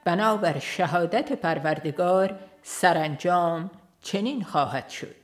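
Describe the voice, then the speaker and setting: Low-voiced woman narrating over violin music. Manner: formal, measured recitation. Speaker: audiobook narrator. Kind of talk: scripted narration of a religious book.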